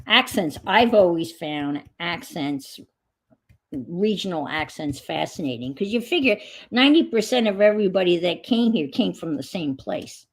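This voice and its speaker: A middle-aged woman with a Long Island New York accent, chatting in a rambling way to herself and her viewers while she paints. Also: on the low side. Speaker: craft vlogger. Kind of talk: casual chat while painting.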